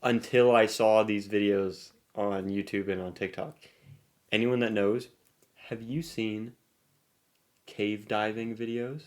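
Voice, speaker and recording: A man's voice: lowish voice, takes it slowly. A man co-hosting a podcast, chatting casually and comedically in a playful, rambling way. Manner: playful, rambling, animated banter. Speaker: podcast co-host.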